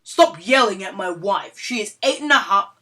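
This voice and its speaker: A woman's voice: mid-range voice, lively intonation, normal speed, noisy background, natural voice. A woman voicing a protective young husband who speaks firmly and impatiently. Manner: firm, impatient.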